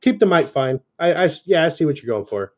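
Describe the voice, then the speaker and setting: A man's voice: on the high side, sing-song, quick pace. A man reading a story draft aloud and giving enthusiastic, chatty feedback, full of fillers. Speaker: editor reviewing a story draft. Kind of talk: casual chat while reading aloud.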